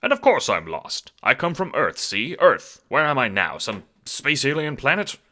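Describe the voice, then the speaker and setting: A man's voice: mid-range voice, sing-song, moderate pace, sharp tone, voice pushed up. A man voicing a blustering older mustached adventurer, incredulous and scornful, in an audio drama. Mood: indignant.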